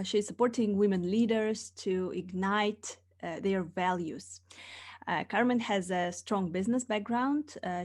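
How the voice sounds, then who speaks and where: A woman's voice: mid-range voice, a little mellow. A woman hosting a podcast interview, introducing her guest with frequent hesitant "uh" fillers. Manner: hesitant, many filler uhs. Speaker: podcast host.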